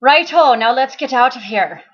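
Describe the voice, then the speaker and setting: A woman voicing a brash, hungry, uneducated male sidekick in a story reading, loud, folksy and impatient. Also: high voice, lively intonation, normal speed, dull audio, voice pushed up.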